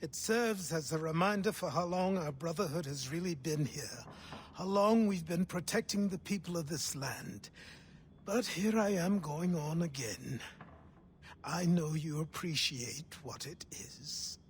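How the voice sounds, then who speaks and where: An actor playing a dignified old mentor assassin in a video game, speaking in a reflective, solemn way. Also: male voice, fairly high pitch, measured pace, a little hiss or hum, lightly acted. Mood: wistful, solemn. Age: older. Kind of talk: video game cutscene acting.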